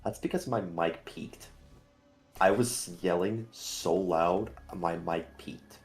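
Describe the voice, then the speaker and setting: A man's voice: sits low, takes it slowly, faint music behind. A man who tutors calculus, joining a stream over voice chat and joking in a laid-back way. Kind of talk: casual voice chat.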